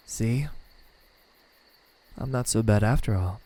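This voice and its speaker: A man playing a lonely immortal demon in an ASMR roleplay, haughty and halting, secretly tender, with a husky voice. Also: on the low side, warm tone, low-quality recording. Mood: coaxing. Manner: slow, halting, condescending speech with stammers and sighs. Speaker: voice actor.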